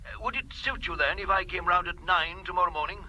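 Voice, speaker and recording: An apologetic, anxious man calls in a radio drama. His voice sits high and sounds bright and sharp, and he keeps stalling with little hesitations. A faint hiss lies under the recording. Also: low-quality recording. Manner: hesitant, apologetic, halting with ums. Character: apologetic anxious middle-aged man on the phone. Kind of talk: radio play acting.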